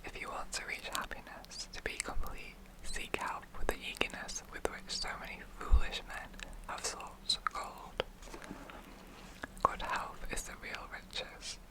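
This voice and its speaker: An ASMR reader delivering a 1940s-style public information broadcast in a breathy, unvoiced whisper, with measured, instructive phrasing. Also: rough recording. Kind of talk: ASMR reading of a 1940s wartime health broadcast.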